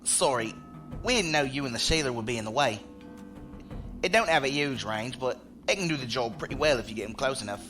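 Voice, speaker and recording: A man's voice: mid-range voice, lively intonation, a little fast, music in the background, close to an everyday voice. A man acting a blunt, loyal spacer in a science fiction audio drama, talking in colloquial, folksy slang. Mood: briefing eagerly. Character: rough-spoken ex-security chief, blunt, loyal male spacer.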